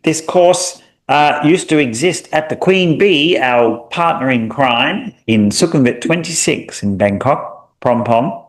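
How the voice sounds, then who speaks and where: Unhurried Australian man teaching. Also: mid-range voice. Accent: Australian, mild. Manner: relaxed and explanatory, drawing out example sounds with small hesitations. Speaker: Thai language teacher. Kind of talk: recorded language lesson. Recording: echoey room.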